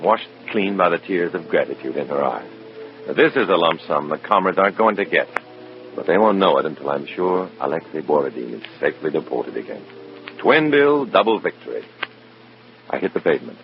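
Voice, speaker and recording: An actor playing a weary, conscience-torn American undercover agent, narrating in a brooding, clipped hard-boiled style of old radio drama. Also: male voice, extremely low pitch. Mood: relieved, resolute. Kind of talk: mid-century radio drama acting, first-person narration. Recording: muffled old radio recording with music.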